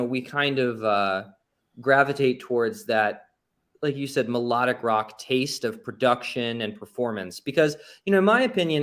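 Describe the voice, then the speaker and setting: A man's voice: mid-range voice, a bit bright. A man speaking as an interview guest and musician, chatting casually in an informal, self-deprecating way. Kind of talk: casual conversational storytelling.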